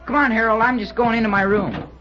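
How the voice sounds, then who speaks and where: An actor playing an eager, joking schoolboy cadet in an old-time radio drama, talking in a chatty, earnest way. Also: male voice, high-pitched, steady tone, quick pace, close to an everyday voice. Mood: eager, confiding. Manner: chatty, boyish, earnest explaining. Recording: muffled vintage radio recording.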